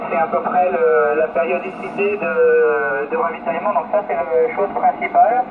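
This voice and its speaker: A man's voice: on the high side, fairly even intonation, moderate pace. A man on an amateur radio expedition, explaining life on a remote island in a casual, hesitant way with many fillers and restarted words. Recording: noisy, phone-like radio link.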